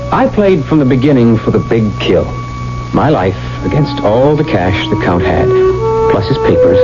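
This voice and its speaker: Radio drama lead: headstrong, desperate young gambler in love. Theatrical, commanding, hugely animated delivery on a dull old recording. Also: male voice, medium pitch. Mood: gripping narration. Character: desperate, headstrong young male lover turned card-playing gambler. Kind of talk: 1970s radio drama acting. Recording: dull 1970s radio recording, music behind.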